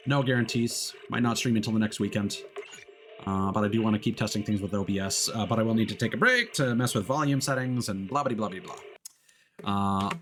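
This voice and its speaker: A man chatting casually to viewers on a livestream in an easygoing, rambling way. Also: lowish voice. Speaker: VTuber livestreamer. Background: music.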